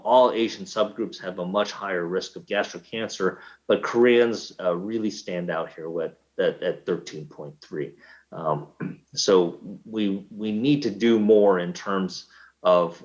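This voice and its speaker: Male physician presenter, lowish voice, measured with frequent fillers, muffled lo-fi audio. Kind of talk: medical conference talk.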